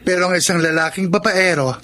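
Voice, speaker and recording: A man's voice: sits high, fairly even intonation, fast talker, bright, forceful tone. An actor playing a frank, skeptical male confidant in a radio drama, with a Filipino accent. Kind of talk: Tagalog radio drama acting.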